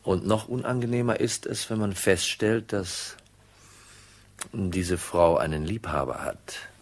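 A hard-nosed detective from a crime radio drama. His voice sits very deep with a husky grain. He is dry and keeps pressing. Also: unhurried, exaggerated voice. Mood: insinuating. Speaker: narrator and lead actor. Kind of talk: German crime radio play acting.

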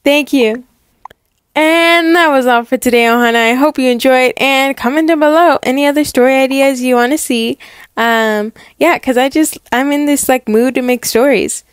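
High-voiced woman narrating, close and dry. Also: moderate pace, clear, resonant tone, no echo. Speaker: storyteller and channel host. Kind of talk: casual vlog-style narration.

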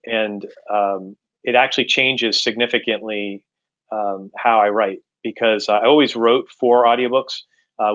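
A middle-aged man answering interview questions as an author, halting and repeating words as he explains, on a video call. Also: fairly low pitch, fairly bright tone. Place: indoors at home, room with bookshelves, on a video call. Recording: slightly dull video-call audio.

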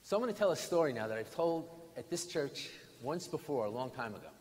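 An older American man, a bishop, preaching a sermon in deliberate, emphatic phrases with pauses and repetition. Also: medium pitch, very animated, darkish tone. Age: 60s or older. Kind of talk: sermon with storytelling. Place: large stone church interior, at lectern. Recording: reverberant church hall, background noise.

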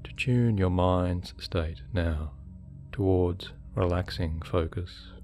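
A man guiding a hypnosis meditation in a soothing, measured way with deliberate pauses. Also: deep voice, a little mellow. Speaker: hypnotherapy guide. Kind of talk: guided meditation, hypnosis narration. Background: relaxation music.

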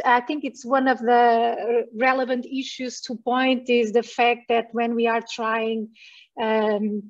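A woman on a video call, her voice set a touch high but held to a flat, even line. She talks in a measured way with many pauses and fillers. The sound is dull and comes from some way off the mic. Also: far from the mic. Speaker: panelist. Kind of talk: online panel discussion.

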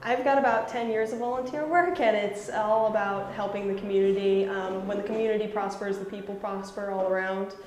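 A woman's voice: mid-range voice, steady tone, brisk, few pauses, a little mellow, slight background noise. A young woman, a volunteer team leader, giving an on-camera interview. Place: small room under renovation indoors. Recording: echoey room with busy background voices.

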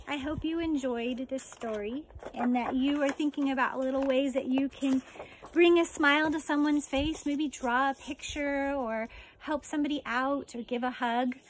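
High-pitched American woman reading to children. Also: steady tone, normal speed, slight background noise. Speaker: elementary school teacher reading a story. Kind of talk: children's picture book read-aloud. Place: outdoors on a residential deck.

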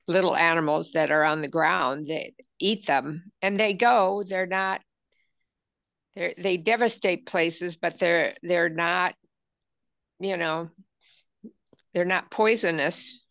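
A woman class participant speaking over a video call, halting and searching for her words. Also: on the low side, fairly even intonation, gravelly, fairly bright tone, no echo, studio quality.

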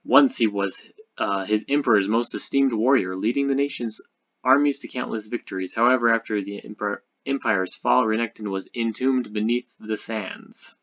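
Low-voiced man rambling through game speculation. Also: normal speed, a bit bright, muffled recording. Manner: rambling, thinking aloud. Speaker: gaming video creator. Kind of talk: casual speculative game commentary over screen share.

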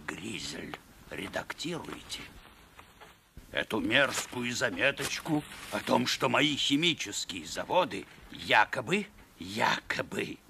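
Gravelly male cartoon tycoon, slow delivery, pompous, domineering, menacing, noisy recording. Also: on the low side, exaggerated voice. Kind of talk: animated film acting.

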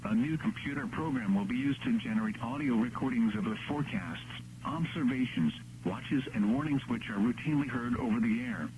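A synthetic male announcer reading scripted weather bulletins in a measured, impersonal text-to-speech delivery. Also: medium pitch, expressive, darkish tone. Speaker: automated weather radio announcer. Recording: noisy radio broadcast with hum.

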